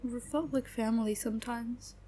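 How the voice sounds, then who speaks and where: A woman playing a young adult best friend who speaks in a halting, subdued way with long pauses, venting about her family. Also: on the high side, steady tone, warm tone, a little hiss or hum, lightly acted. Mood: hurt. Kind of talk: audio roleplay acting.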